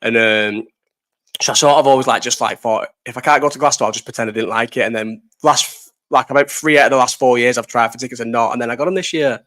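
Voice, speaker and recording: A man's voice: mid-range voice, quick pace, a bit bright. A young man hosting a casual live chat, reading out comments, rambling, swearing and laughing often. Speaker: livestream host.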